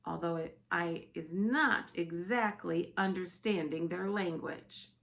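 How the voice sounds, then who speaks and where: A woman voicing a gentle, big-eared male giant who talks earnestly in mixed-up, ungrammatical words. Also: lowish voice, slow, creaky, exaggerated voice.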